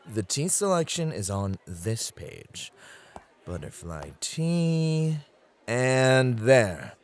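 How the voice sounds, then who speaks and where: Man acting a flirty club host, lively swooping voice. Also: mid-range voice, very slow. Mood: flirty, guiding. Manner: teasing, courteous, theatrical. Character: flirty, gentlemanly club host, encouraging male teacher. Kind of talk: ASMR roleplay acting.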